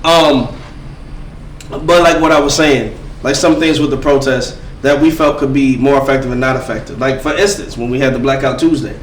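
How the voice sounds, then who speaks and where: A man's voice: mid-range voice, fairly even intonation, moderate pace. A man co-hosting a podcast, talking casually in a loose, joking, profane way. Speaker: podcast co-host. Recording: roomy, distant mic, faint background hum.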